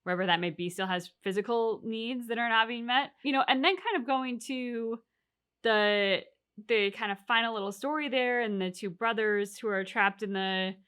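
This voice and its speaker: A woman's voice: fairly high pitch, moderate pace, fairly bright tone, clear, resonant tone. A woman chatting casually as a reaction co-host, reflecting and explaining her points at length with examples. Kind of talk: casual discussion of a video game story.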